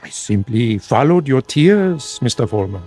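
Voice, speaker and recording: Radio drama villain: demagogue dictator, insinuating, formal, rough husky voice, highly animated intonation. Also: male voice, unhurried, soft background music. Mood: sly, self-assured. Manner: theatrical, insinuating, formal, commanding. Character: sinister dead dictator, coaxing a follower in demagoguery. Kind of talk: vintage-style radio drama acting.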